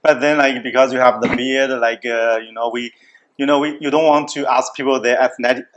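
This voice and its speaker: Halting host in his forties. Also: medium pitch, fairly even intonation, a bit bright, a little hiss or hum, low-quality recording. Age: 40s. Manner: halting, many restarts and fillers, non-native phrasing. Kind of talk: casual chat over a meal.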